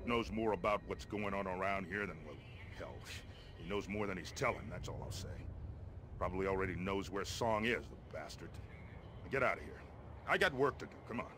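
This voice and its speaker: An actor playing a gruff, blunt American army colonel with a wry, folksy streak in a video game. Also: male voice, deep voice, a little fast, background noise, natural voice. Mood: wry, weary. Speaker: army colonel, commander giving mission briefings. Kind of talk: video game character dialogue.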